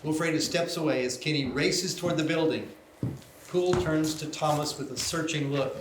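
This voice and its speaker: A man's voice: fairly high pitch, normal speed. A man reading stage directions as narrator in scripted narration at a live table read. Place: live event room. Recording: echoey room, clear background noise.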